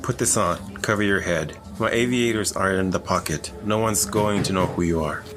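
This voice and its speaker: A man playing a bored, cocky maverick government agent in an audio drama, brash, needling and quick to swear. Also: sits low, music in the background, lightly acted.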